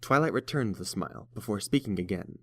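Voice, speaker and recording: A man's voice: on the low side, expressive, a little fast, a bit bright, dry recording, studio quality. A man reading scripted story narration as the narrator.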